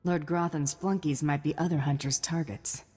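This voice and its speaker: Low, husky bounty hunter heroine. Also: female voice, fairly even intonation, moderate pace, a little mellow, long reverb tail, faint music behind. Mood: serious. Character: female alien bounty hunter, cocky, principled, dry-witted. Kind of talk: video game voice acting.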